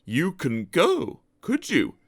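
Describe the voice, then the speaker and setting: A proud big engine who drawls slyly and knowingly, voiced by a man. The words come out slow, a touch higher than plain narration. It has a storybook feel. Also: huge pitch swings, vocal fry, no echo, studio quality, exaggerated voice. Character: big proud sly male express engine.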